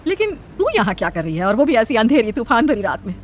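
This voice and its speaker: A woman as a worried, animated motherly aunt. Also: on the high side, fast, faint music behind. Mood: concerned, curious. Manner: concerned, questioning, expressive. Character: worried, motherly village aunt in a horror cartoon. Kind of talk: dramatic Hindi cartoon story dialogue.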